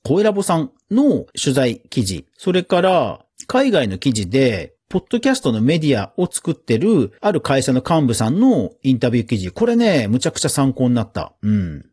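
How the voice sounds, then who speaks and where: A man's voice: mid-range voice, wildly swooping pitch, moderate pace, few pauses, a little mellow, husky. A man hosting a podcast news round-up in a casual, chatty way, with informal asides and little interjections of agreement. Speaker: podcast host.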